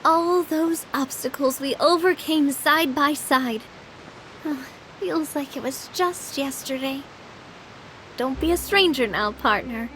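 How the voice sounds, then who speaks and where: A woman's voice: high-pitched, slow pace, a bit bright, slight background noise. A woman playing a confident, sentimental young female comrade in an anime game. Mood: nostalgic.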